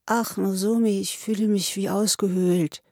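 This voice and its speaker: A woman's voice: medium pitch, fairly even intonation, moderate pace, darkish tone, no echo, natural voice. Lady doing a drunk student guy in an audiobook, whiny and weepy, slurring, childish despair, really dry sound. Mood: drunken, maudlin. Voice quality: slurred, tearful. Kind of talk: audiobook character acting.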